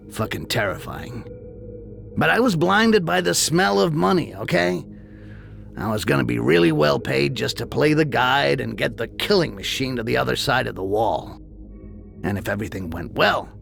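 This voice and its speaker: A man voicing a cynical, foul-mouthed goblin mercenary in a video game, gravelly and sardonic. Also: medium pitch, a bit bright. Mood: grim, sardonic, then sardonic, animated. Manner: sardonic, streetwise, crude. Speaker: character narrator.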